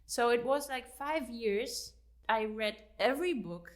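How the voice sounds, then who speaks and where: A woman's voice: fairly high pitch, lively intonation, a little slow. A woman in her late thirties with a German accent, chatting as a guest in an interview. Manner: chatty, many fillers, laughs easily. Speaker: guest author. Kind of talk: casual interview chat.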